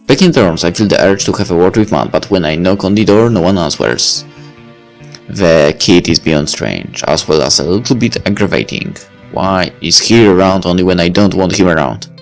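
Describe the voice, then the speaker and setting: A very low-voiced man reads a visual novel aloud and stops now and then to chat about it. His reading is relaxed and casual, with the odd slip and restart. Soft music plays quietly behind him. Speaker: let's play host reading a visual novel. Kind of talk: reading game text aloud with commentary.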